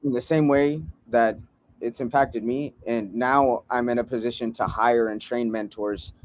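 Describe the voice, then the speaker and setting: A man's voice: mid-range voice, steady tone, unhurried, no echo. A man guesting on a casual podcast, an earnest youth mentor who speaks plainly with slang and laughs easily. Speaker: guest, youth mentor and hip hop artist. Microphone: remote call mic.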